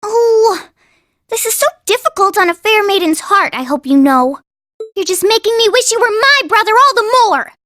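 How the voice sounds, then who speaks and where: An actress playing a sweet, refined teenage princess in an anime game dub, with genteel, formal phrasing. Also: female voice, extremely high pitch, expressive, moderate pace, fairly bright tone, no echo, clean studio sound. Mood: pouting. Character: sweet, poised teenage princess putting on a brave face.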